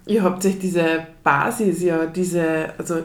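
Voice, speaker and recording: A woman's voice: on the low side, fairly even intonation, a little slow. A woman hosting a podcast interview, asking open questions in a conversational way. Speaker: podcast host. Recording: roomy echo.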